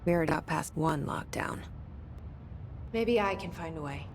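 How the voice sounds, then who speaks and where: A woman acting a guarded, determined young heroine in a video game, in a low-key, searching manner. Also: a little slow, a little hiss or hum.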